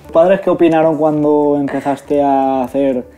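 A man's voice: fairly high pitch, fairly even intonation, normal speed, mellow, faint music behind. A young man co-hosting from a sofa in a small studio set, teasing the guest with cheeky, crude jokes. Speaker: co-host. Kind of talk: casual joking chat.

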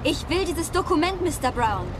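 Indignant, high-voiced academic woman. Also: expressive, normal speed. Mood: skeptical, indignant. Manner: sharp, indignant. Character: indignant, headstrong female academic, easily outraged. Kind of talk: German film dub acting. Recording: film soundtrack with music behind.

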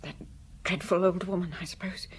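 An actress playing a timid, guilt-ridden schoolmaster's wife in a radio drama, hesitant and self-reproaching. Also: female voice, fairly low pitch, steady tone, brisk, slightly rough. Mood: hurt, defensive.

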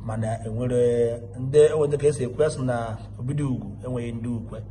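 A man's voice: mid-range voice, steady tone, quick pace, warm tone. Igbo interviewer guy, polite and formal, faint noise behind. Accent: Nigerian Igbo. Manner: formal, courteous questioning.

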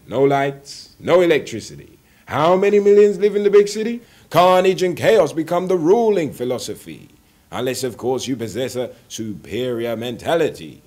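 A man in his twenties performs spoken word poetry in a voice pitched a little above the average male. His delivery is rhymed and rhythmic. He phrases deliberately and dramatically, stretching out certain words. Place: indoor room, seated on a sofa.

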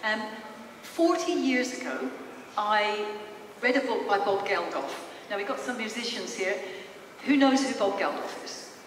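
A woman's voice: mid-range voice, lively intonation, unhurried. A woman in her fifties giving an informal, conversational public talk as a storytelling presenter in a large hall. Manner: conversational, storytelling, addresses listeners with questions. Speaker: speaker, psychologist presenting. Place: large theatre hall, in front of stage. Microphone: handheld mic through PA, captured by distant camera. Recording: echoey hall from far off, noisy.

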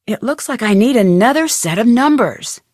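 A woman playing a young female amateur detective in a video game, curious, upbeat and sensible, speaking in a clearly enunciated, conversational way. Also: on the high side, animated, normal speed, darkish tone, no echo, studio quality. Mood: curious, musing. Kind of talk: video game voice acting, scripted lines and letter narration.

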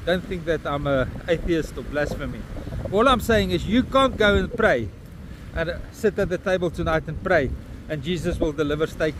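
A blunt, swearing South African man in his fifties, with a slightly high voice, rants into a phone held at arm's length. He is outside on a beach, and clear wind and outdoor noise run under his voice. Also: animated, moderate pace. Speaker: vlogger. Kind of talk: outdoor walking vlog. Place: windy beach at an estuary mouth, outdoors. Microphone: phone camera held at arm's length.

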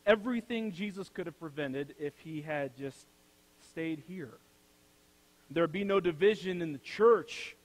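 A young man preaching a sermon from a church pulpit. He builds to loud, emphatic peaks, then drops to soft, low phrases. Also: low-quality recording. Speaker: pastor. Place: church sanctuary, speaking from the pulpit.

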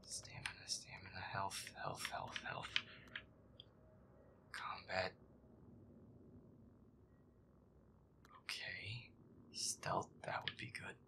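Man playing a grumbling, whispery, gravelly gamer boyfriend. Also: sits low, monotone, takes it slowly, pauses often, heavily acted. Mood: tense, muttering. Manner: foul-mouthed, irritable muttering with nervous outbursts. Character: feisty, grumpy young male werewolf boyfriend playing games. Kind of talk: roleplay gameplay commentary, muttering to himself.

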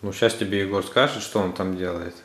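Very deep-voiced man encouraging small children. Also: brisk, fairly bright tone. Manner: encouraging, instructing, asks short checking questions. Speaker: parent filming and guiding children's craft. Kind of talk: casual chat with small children. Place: living room at home, behind the camera. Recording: echoey room, off-camera.

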